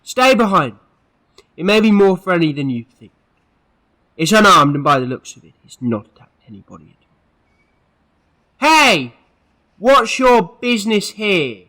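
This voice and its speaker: An actor playing an earnest, authoritative peacemaking hero in a sci-fi audio drama, urgent and admonishing. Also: male voice, high voice, big pitch swings, measured pace, pauses often, pushed and bright, heavily acted, rough recording.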